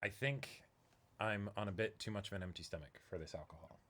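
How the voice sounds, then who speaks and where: A man's voice: on the low side, a little fast, fairly bright tone. A man co-hosting a livestream, thinking aloud in rambling, casual banter. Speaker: streamer co-hosting a live reading stream.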